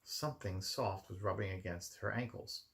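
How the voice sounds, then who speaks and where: Male storybook reader, home setting, deep-leaning register with heavy vocal fry, expressive narration. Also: normal speed. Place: his room at home.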